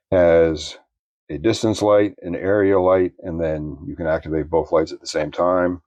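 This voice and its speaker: A man giving an unscripted product review in a plainspoken, measured, explanatory way. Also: low-pitched, darkish tone, no room sound, lo-fi sound.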